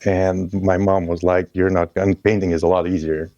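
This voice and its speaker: A man in his thirties from Croatia, a guest musician chatting casually in a halting, loose way with restarts. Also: low-pitched, little pitch movement, dark tone, no room sound, dull audio. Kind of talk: casual interview chat.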